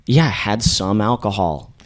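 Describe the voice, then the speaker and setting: A man's voice: fairly low pitch, animated, moderate pace, lightly acted. An actor playing a defensive, exasperated young man, blunt and indignant as a reluctant guest in a mock podcast interview.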